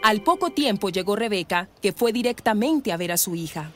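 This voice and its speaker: A woman's voice: mid-range voice, animated, moderate pace, over loud music. A woman with a Colombian accent reading scripted narration for a radio drama.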